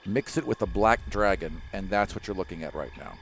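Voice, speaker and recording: A man's voice: low-pitched, brisk, gravelly, a bit bright, slight background noise. A man narrating a tabletop game as game master, improvising dramatic descriptions with casual asides. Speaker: game master and player on an actual play podcast.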